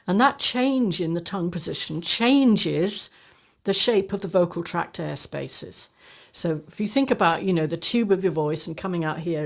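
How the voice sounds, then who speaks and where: Woman voice coach explaining. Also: fairly low pitch. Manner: explanatory, lively teaching tone. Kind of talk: teaching conversation on singing technique. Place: home studio, indoors.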